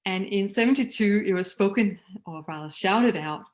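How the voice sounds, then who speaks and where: A woman from Denmark with a Danish accent, a mission leader giving a prepared talk in an earnest, measured way that trails off softly at phrase ends. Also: mid-range voice.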